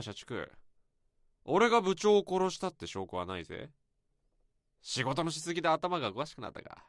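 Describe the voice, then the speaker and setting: A man's voice: medium pitch, sharp tone, no room sound, clean studio sound. A man playing a sneering, defiant office senior. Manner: sneering, combative. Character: defiant male office senior accused of murder. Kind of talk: radio drama acting.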